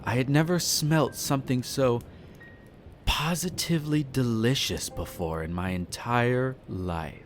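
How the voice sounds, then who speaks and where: A man's voice: mid-range voice, measured pace, faint music behind, lightly acted. A man playing a suave, flirtatious aristocratic vampire who talks to the listener with amused, haughty condescension in a roleplay audio drama. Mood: relishing, smug. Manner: theatrical, leisurely storytelling with teasing, haughty, formal wording.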